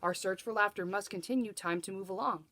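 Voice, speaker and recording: A woman's voice: mid-range voice, fairly quick, fairly bright tone, lightly acted. A woman reading a storybook, voicing a loyal, reassuring sidekick who speaks emphatically and encouragingly. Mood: insistent.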